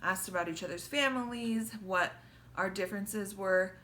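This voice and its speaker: Woman, 30s, from the US, bright tone, casual chatty storytelling, teasing. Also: mid-range voice, moderate pace, a little hiss or hum. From United States. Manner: chatty, teasing, giggles often. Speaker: wife telling how they met.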